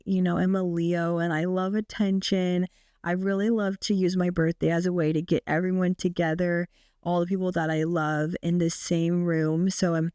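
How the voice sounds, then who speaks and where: A woman in her twenties hosting a casual solo podcast, her naturally raspy, deep voice made hoarse by a recent illness, chatting with frequent fillers. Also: a little mellow, no echo, studio quality. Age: 20s. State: sick, hoarse. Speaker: podcast host.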